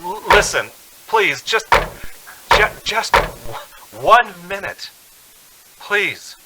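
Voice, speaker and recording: A man's voice: high voice, sing-song, drawn-out words, heavy background noise, heavily acted. A man acting a frightened, desperate motorist in a radio drama, intense and anguished in delivery. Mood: desperate, pleading. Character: frightened, desperate young motorist haunted by a phantom hitchhiker.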